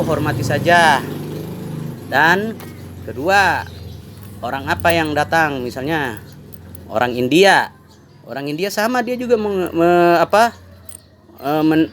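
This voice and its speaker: A man's voice: on the high side, a bit bright, a little hiss or hum. A man with an Indonesian accent giving an informal, exhorting monologue, repeating his points for emphasis. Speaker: musician and online vlogger. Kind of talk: informal opinion monologue to camera.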